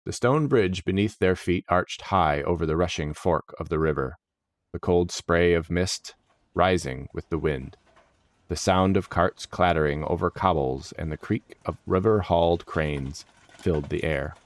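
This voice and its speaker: A man's voice: deep voice, measured pace, no room sound. A man reading scripted fantasy story narration.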